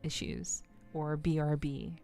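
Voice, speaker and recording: A soft-spoken woman streamer chatting casually and unhurriedly with her live viewers in an ASMR style, rambling a little hesitantly. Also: low-pitched, takes it slowly, vocal fry. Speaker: livestreamer, VTuber host. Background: faint music.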